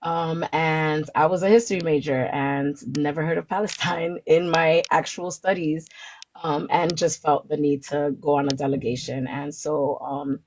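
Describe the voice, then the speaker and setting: A woman's voice: sits low, fairly even intonation, moderate pace, a little mellow. A woman giving casual interview answers, informally and hesitantly, searching for her words. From the Bronx, New York, United States. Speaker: interview guest, community organizer. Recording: dull lo-fi audio with background noise, clicks.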